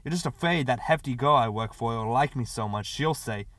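A man's voice: medium pitch, a little fast, a bit bright, no echo, natural voice. A stubborn rural man, played by a male narrator in an audiobook story. He talks in blunt, folksy country phrases. The recording is dry and close. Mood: cocky.

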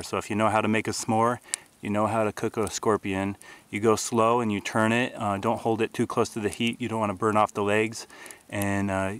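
A man's voice: on the low side, fairly bright tone. A man in his forties explaining outdoor survival cooking in a plain, matter-of-fact way with frequent filler words. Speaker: bushcraft video host. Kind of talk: outdoor how-to narration. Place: outdoors in a grassy park.

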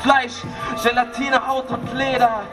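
A man's voice: extremely high pitch, little pitch movement, measured pace, music in the background. A man rapping political hip hop in an urgent, declamatory way. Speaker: rapper. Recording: distant voice over hip hop beat.

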